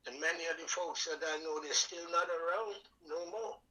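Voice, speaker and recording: A man's voice: fairly high pitch, normal speed, bright, forceful tone, low-quality recording. An older man of about ninety from Tobago with a Trinidad and Tobago accent, telling his life story in a rambling, devout, folksy way. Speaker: guest, veteran and calypso singer. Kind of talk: casual interview storytelling.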